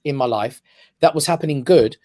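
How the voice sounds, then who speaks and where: Emphatic man, mid-pitched. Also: fairly quick, darkish tone. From England. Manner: emphatic, repetitive, passionate. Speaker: guest, comedy club owner. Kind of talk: casual radio interview. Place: radio studio.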